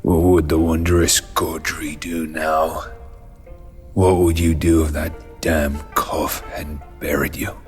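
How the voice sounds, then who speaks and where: A man's voice: extremely low pitch, level tone, slow pace, soft background music. An actor playing an embittered, hot-tempered human king in a fantasy audio drama, brooding and commanding. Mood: bitter, brooding. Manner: commanding, brooding, erupting into shouts. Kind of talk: full-cast fantasy audio drama acting.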